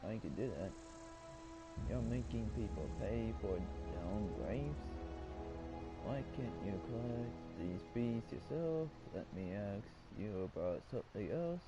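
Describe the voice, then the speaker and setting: A man's voice: lowish voice, expressive, unhurried. A man casually commenting while playing a game and rambling to himself. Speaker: gamer. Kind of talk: casual let's-play commentary. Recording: clear game music behind voice.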